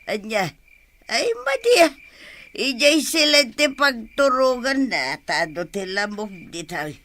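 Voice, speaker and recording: A boisterous old Ilocano grandmother, drunk and drawling at a very slow pace. Her high voice leaps all over the range in broad comedy radio drama style, with a loud, exclaiming manner and Ilocano accent. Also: sing-song, exaggerated voice. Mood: tipsy, bossy. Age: older. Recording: background noise and ambience.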